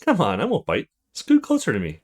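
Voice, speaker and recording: A man's voice: on the high side, huge pitch swings, a little fast. A man voicing a friendly, coy young student woman in a visual novel, with casual, teasing delivery. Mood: inviting.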